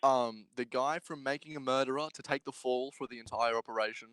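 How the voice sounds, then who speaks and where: A man's voice: mid-range voice, steady tone, brisk, fairly bright tone, smooth, no room sound. Male podcast co-host, mid-range voice, close dry sound, jokey casual chat, hammers a phrase by repeating it. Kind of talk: casual joking group chat. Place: remote group podcast during quarantine.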